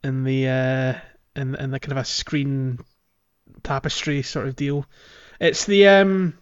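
A man's voice: medium pitch, fairly even intonation, unhurried, smooth, dull audio. Man, thirties, podcast host, mid-range voice, casual gaming conversation. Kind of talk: casual playthrough chat.

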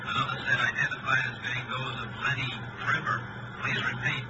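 An actor playing a formal, clipped police dispatcher in an old-time radio drama, reading out bulletins in a businesslike way. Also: male voice, medium pitch, little pitch movement, fairly quick, close to an everyday voice. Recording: muffled, noisy vintage radio.

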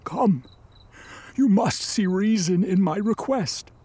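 An actor in a radio play voicing an embittered, vengeful created monster, with a husky voice and grandiose, formal delivery. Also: medium pitch, lively intonation, mellow. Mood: solemn, persuasive. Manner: grandiose, theatrical, deliberate delivery. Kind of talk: radio play acting, formal archaic speech.

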